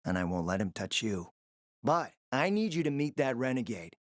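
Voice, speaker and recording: A man's voice: mid-range voice, sing-song, moderate pace, dry recording, clean studio sound, close to an everyday voice. An actor playing a scheming, foul-mouthed man in a post-apocalyptic video game, confident and calculating, with an emphatic, sardonic delivery. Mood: calculating, persuasive. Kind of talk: dramatic video game dialogue.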